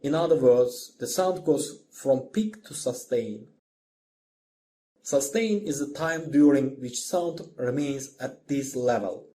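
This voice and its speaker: A man's voice: mid-range voice, takes it slowly, mellow. A man narrating a scripted lesson as a music instructor, explaining step by step in simple phrases.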